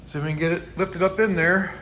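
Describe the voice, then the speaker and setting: A man working in a metal shop, explaining each repair step aloud in a casual, rambling way with lots of fillers and speaking up loudly. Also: high-pitched, fairly even intonation, fast, a little hiss or hum. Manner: speaks up loudly over the rain. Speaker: mechanic and channel host. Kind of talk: casual garage how-to narration. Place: metal shop building during rain. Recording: loud rain drumming on a metal roof.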